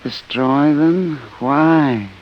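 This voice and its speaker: An actor playing a placid, dreamily happy brainwashed professor in a 1950s radio drama. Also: male voice, on the high side, very slow. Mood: vacant contentment. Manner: dreamy, vacant, cheerful. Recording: dull vintage 1950 radio recording.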